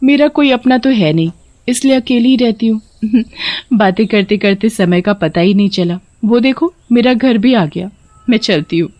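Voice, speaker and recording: A woman's voice: a little high, moderate pace, no echo, muffled recording, natural voice. A woman voicing a shape-shifting female witch who lures men with sweet talk and cackles, in a cartoon horror story.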